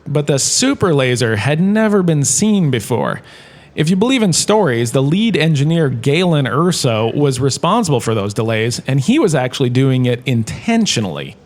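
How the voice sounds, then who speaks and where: A man playing a jaunty, opinionated history professor in a comedic audio drama lecture, chatty and theatrical, with emphatic pauses. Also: mid-range voice, big pitch swings, close to an everyday voice. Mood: wry lecturing. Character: washed-up actor turned jaunty, opinionated male history professor.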